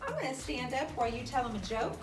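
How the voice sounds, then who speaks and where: A woman's voice: high voice, big pitch swings, fast, over loud music. A woman with an American accent hosts a children's craft show, explaining steps casually with playful banter. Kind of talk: casual step-by-step craft demonstration.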